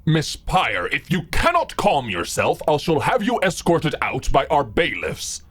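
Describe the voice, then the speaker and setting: Stern, slow-spoken male judge, acted by a man. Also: a little high, wildly swooping pitch, a bit bright, heavily acted. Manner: authoritative, dry, curt. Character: stern, unimpressed courtroom judge. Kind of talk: courtroom roleplay audio drama.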